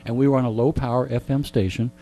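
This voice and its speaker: A man in his sixties in a radio interview, chatting like an animated storyteller and turning aside to address listeners. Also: medium pitch, fast talker. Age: 60s. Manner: frequent throat clearing. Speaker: radio show host being interviewed. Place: radio studio. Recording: clear background hum and noise.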